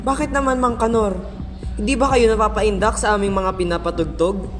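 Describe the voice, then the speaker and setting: A young man plays a cocky party lover in a student radio drama. His voice is high for a man and playfully mocking, in long reverb over loud music. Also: fairly even intonation, fairly quick. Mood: playful, goading. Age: young adult. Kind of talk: amateur Filipino radio drama acting.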